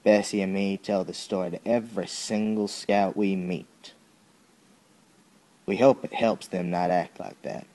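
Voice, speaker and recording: A man playing a young Southern soldier in an audio drama, giving a plain-spoken, folksy, halting account full of bitterness and grief. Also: low voice, fairly even intonation, warm tone. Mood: bitter bewilderment. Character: young Southern soldier recounting a childhood atrocity, bitter and grieving. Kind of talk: dramatic first-person monologue, audio drama.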